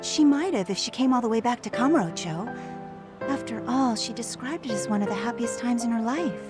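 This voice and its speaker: An actress playing a gentle woman who recalls an old friend's past in a conversational, wistful way for a video game cutscene. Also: fairly high pitch, expressive, a little fast, lightly acted. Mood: encouraging. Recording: studio voice with music behind.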